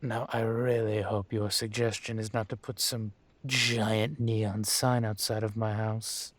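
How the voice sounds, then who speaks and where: A man playing a dragon mafia boss in an audio roleplay, speaking with measured, condescending menace and smug control. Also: fairly low pitch, flat delivery, a bit bright, no echo. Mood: smug, amused. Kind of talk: scripted roleplay monologue to the listener.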